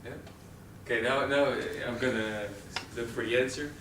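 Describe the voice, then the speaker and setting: Young Dutch man presenting live, halting and filler-heavy; recorded from far back in a roomy, noisy training room. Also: medium pitch, steady tone, a bit bright. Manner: hesitant, many fillers and restarts. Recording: roomy, distant camera audio with noise.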